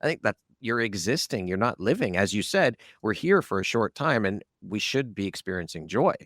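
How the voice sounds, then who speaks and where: A man's voice: lowish voice, big pitch swings, normal speed, a bit bright, dry recording, studio quality. A man hosting a conversational interview podcast, talking in a candid, informal way. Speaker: podcast host.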